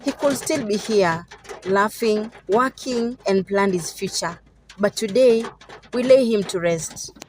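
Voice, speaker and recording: A woman playing a grieving aunt giving a measured, mournful speech in a radio drama. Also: mid-range voice, a little hiss or hum, lightly acted. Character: grieving aunt addressing a funeral gathering. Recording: prominent music under the voice.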